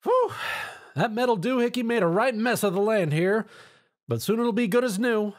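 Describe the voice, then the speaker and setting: A man's voice: high-pitched, expressive, moderate pace, voice pushed up. A man voicing a feisty, blunt young country farm mare with folksy phrasing. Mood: cheerful.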